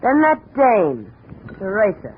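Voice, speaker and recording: A bitter, brassy showgirl, scorned and defiant, from an old radio play. Her pitch swings widely as she bites out her lines. The recording is muffled and slightly noisy. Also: huge pitch swings, slow pace. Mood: bitter pleading. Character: bitter, scornful, jilted female burlesque performer. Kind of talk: 1950s radio drama acting.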